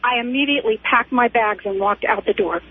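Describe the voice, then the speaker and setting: A woman's voice: a little high, a little fast, a little hiss or hum. An emphatic woman calling a radio show by phone to give insistent, opinionated advice, often repeating words. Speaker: call-in radio caller. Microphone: telephone. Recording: tinny, narrow phone line on radio.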